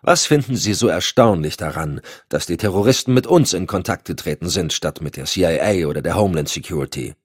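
A man's voice: low voice, lively intonation, brisk, few pauses, breathy edge, no room sound, clean studio sound. A man voicing a curt, sardonic, commanding agency chief with clipped formal speech in an audiobook. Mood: irritated, sardonic. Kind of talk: audiobook dialogue.

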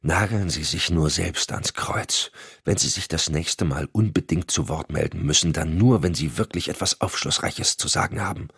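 A man voicing a stern, irritated female FBI agent in a low hissing murmur. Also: normal speed, vocal fry, fairly bright tone, husky, no room sound, clean studio sound, full character voice. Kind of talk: audiobook acting.

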